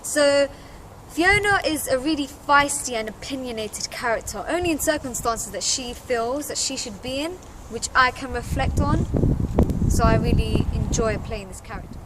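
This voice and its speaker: Teen girl actor, voice a bit high, chatting about her part, noisy background. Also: expressive, normal speed. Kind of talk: informal spoken introduction. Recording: clear ambient background noise.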